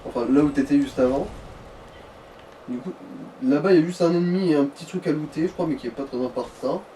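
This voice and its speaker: A man with a soft-edged, mellow voice, a little high guides a player through a game. He explains casually in colloquial phrases. He sounds slightly away from the mic. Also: fast talker. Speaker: co-commentator guiding the player. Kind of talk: casual gaming chat giving directions. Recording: slightly distant, noisy voice recording.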